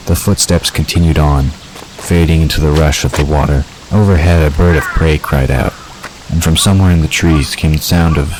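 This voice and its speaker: A man's voice: extremely low pitch, a little hiss or hum, low-quality recording. A man narrating a scripted fantasy story for an audio drama.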